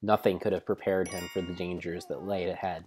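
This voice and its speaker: A man reading mock-dramatic storybook narration of a game, in a theatrical, solemn way with deliberate pauses. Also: deep voice, flat delivery, a little mellow, slight background noise. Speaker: live streamer narrating a game.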